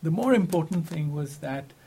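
Higher-voiced man explaining with fillers. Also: lively intonation, moderate pace, mellow, slight background noise. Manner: explanatory, full of fillers and restarts. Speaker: faculty researcher. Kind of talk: casual academic discussion.

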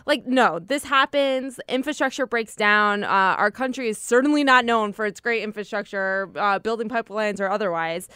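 A woman's voice: a little high, moderate pace, few pauses, sharp tone, smooth, no room sound, studio quality. A woman co-hosting a casual news talk show who speaks earnestly and thoughtfully. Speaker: podcast co-host. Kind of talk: casual political chat.

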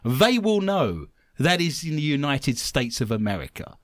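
An English man from London hosts a radio talk show in a casual, chatty way. His voice is mid-pitched but leaps up and down with lots of life, and he laughs easily. The sound is dry and close, with no room echo. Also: moderate pace. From London, England. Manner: chatty and animated, often laughing.

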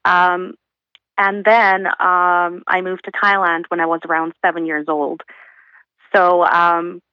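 A woman's voice: lowish voice, level tone, sharp tone, smooth, dry recording, dull audio. Dry-sounding woman guest on a remote call. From Uzbekistan. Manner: thoughtful, pauses with fillers. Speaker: guest, accounting professional. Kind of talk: casual remote interview. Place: her home. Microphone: remote call from home.

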